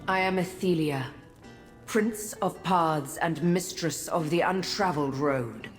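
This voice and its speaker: An actress playing a melancholy, regal female prince of paths in a fantasy game, speaking in a solemn, formal, measured manner. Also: lowish voice. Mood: relieved, majestic. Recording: long reverb over soft music.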